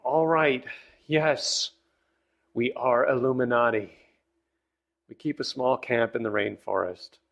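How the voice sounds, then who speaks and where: A man's voice: medium pitch, fairly even intonation, slow pace, vocal fry, long pauses, highs cut off. An actor playing a defiant young male prisoner in agony, strained and emphatic in a dramatised audiobook. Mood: agonised, yielding. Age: young adult.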